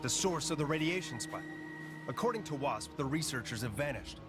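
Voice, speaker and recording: A man's voice: a little high, expressive, brisk, music in the background, natural voice. A man playing an earnest, authoritative superhero team leader in a video game cutscene. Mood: businesslike, steady. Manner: businesslike, authoritative.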